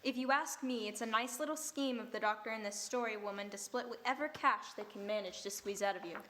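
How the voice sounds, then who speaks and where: A woman's voice: on the high side, fairly quick, a bit bright, slight background noise, close to an everyday voice. An actress playing a spiteful, suspicious woman who talks scornfully and dismissively. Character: spiteful, suspicious middle-aged woman, sharp-tongued.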